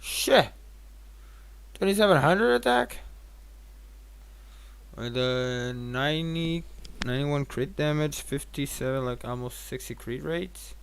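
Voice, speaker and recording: A man's voice: a little high, lively intonation, takes it slowly, creaky, long pauses, smooth. A tired man giving casual gameplay commentary as a streamer, rambling and thinking aloud with some casual swearing. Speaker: game streamer.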